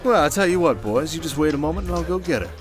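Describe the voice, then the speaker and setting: A man's voice: a little high, expressive, quick pace, natural voice. Man acting a suave, showy conman over music. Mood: boastful, amused. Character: smooth, showy male conman posing as high-rolling heir. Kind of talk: scripted audio roleplay acting. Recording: loud music under the voice.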